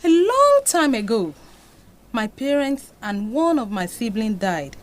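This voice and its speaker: Anguished Nigerian mother, high expressive voice. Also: measured pace. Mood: sorrowful confession. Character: anguished, devout Nigerian mother confessing a painful past. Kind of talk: dramatic audio drama acting.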